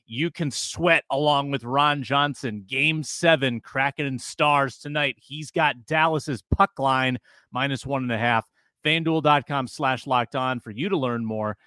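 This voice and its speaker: Bright, upbeat American ad reader. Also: male voice, medium pitch, no echo, studio quality. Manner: brisk, upbeat ad delivery. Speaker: co-host reading an ad. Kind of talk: read sponsor spot.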